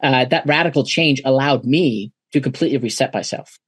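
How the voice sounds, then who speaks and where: A man's voice: medium pitch, brisk, a bit bright, husky, dry recording. A German-born man in his forties, a native German speaker, reflecting on his past as a podcast guest, with frequent fillers and restarts. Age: 40s. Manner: thoughtful, many uh fillers and restarts. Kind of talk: reflective interview conversation.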